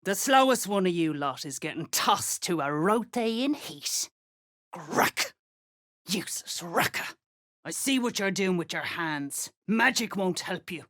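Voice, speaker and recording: Give the part of a hard female slave-driver from a fantasy game. Her low voice swings widely as she issues curt threats and scornful orders. The delivery is slow and deliberate, in a very dry recording. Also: lively intonation, a bit bright, no room sound. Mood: contemptuous. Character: gruff, menacing female cultist slave-driver bossing diggers. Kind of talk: video game character acting.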